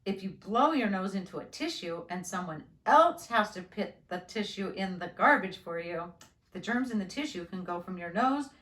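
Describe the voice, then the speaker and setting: A woman voicing a calm, reassuring father who patiently explains things to his child in a storybook. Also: medium pitch, normal speed, natural voice. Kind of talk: children's storybook read-aloud. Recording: roomy, echoing indoor sound.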